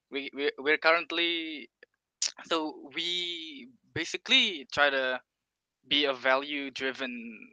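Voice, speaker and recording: A young man, a student, giving an informal presentation of a startup pitch and often repeating words as he searches for phrasing. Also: bright, forceful tone, dry recording, rough recording. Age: young adult.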